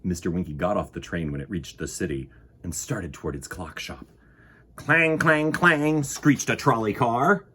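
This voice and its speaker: A man hosting a children's storytime, reading a picture book aloud in an expressive, theatrical and playful way. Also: sits low, moderate pace, slight background noise. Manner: expressive, dramatic, playful with sound words.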